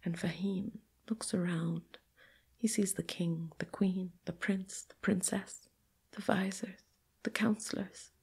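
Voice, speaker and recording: Slow, husky woman telling a folktale. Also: mid-range voice, fairly even intonation, a little mellow. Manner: suspenseful, deliberate pauses and repetition. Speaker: storyteller. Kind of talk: dramatic oral folktale narration.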